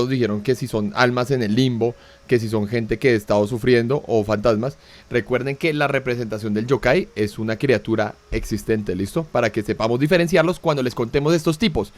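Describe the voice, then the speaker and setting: A man from Colombia, co-hosting a talk show, chatty and casual with filler tags. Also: medium pitch. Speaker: co-host. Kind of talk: casual panel chat.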